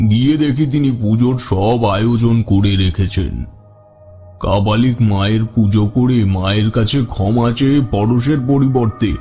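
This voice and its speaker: A composed tantric sage telling his own tales. His dark, low voice takes its time over soft music, in audio drama style. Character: wise, composed male tantric storyteller recounting his adventures. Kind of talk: dramatic first-person story narration.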